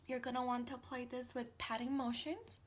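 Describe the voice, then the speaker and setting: A woman's voice: a little high, steady tone, fairly quick. A woman in her thirties from Italy with an Italian accent, casually talking through a makeup routine, full of ums and small restarts. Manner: chatty, with frequent ums and restarts. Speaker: makeup tutorial host. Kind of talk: casual how-to narration.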